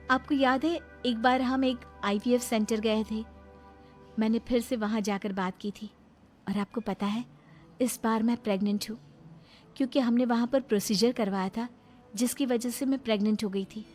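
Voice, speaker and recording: A woman's voice: fairly high pitch, a little mellow, natural voice. A woman voicing a wronged but dignified young wife in a cartoon drama, earnest, sincere and firm. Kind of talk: melodramatic Hindi cartoon voice acting.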